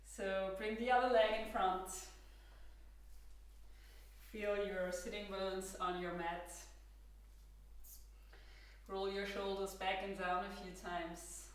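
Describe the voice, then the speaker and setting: A woman with an Austrian accent, a native German speaker, leading a guided yoga class with calm, gentle instructions. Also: medium pitch, slow pace, pauses often, recorded from a distance. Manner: calm, gentle cueing with soft laughs. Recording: roomy echo, from across the room.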